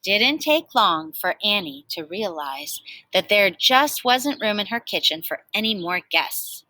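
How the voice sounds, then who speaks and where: A woman teacher reading a picture book aloud to children in an expressive storytelling style. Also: mid-range voice, unhurried.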